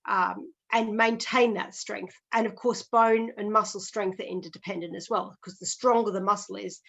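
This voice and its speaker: A middle-aged Australian woman, a physiotherapist guest, explaining step by step in a measured way. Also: medium pitch, steady tone, vocal fry, a bit bright. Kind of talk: explanatory interview talk.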